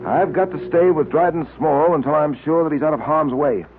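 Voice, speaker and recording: An actor playing a stern, insistent detective with a firm, probing manner in old-time radio drama. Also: male voice, a little high, brisk, close to an everyday voice. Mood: concerned, resolute. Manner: firm, interrogating. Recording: tinny, hissy old-time radio recording.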